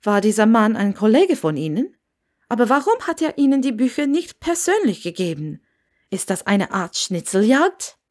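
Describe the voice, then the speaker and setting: A woman's voice: a little high, sing-song, moderate pace, darkish tone. A woman voicing a talkative, inquisitive female innkeeper who spooks easily, in an audiobook story. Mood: curious, helpful.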